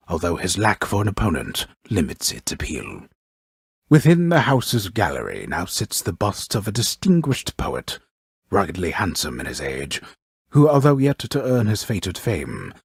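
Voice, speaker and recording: Very deep, husky, mocking storyteller. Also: male voice, fairly bright tone, no room sound, heavily acted. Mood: grandiose, dryly mocking. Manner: grave, ornate, deliberate delivery with dry mockery. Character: wry, grandiose old storyteller narrating Greek myth. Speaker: narrator. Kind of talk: theatrical scripted game narration.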